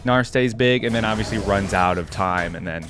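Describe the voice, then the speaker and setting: A man's voice: fairly low pitch, moderate pace. Casual man over faint music. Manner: musing aloud, conversational. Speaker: reaction video host. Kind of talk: casual reaction commentary.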